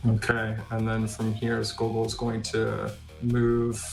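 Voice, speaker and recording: A man's voice: lowish voice, monotone, slow pace, gravelly. A man playing a tabletop fantasy game, casually narrating what his character does. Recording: roomy sound with music behind.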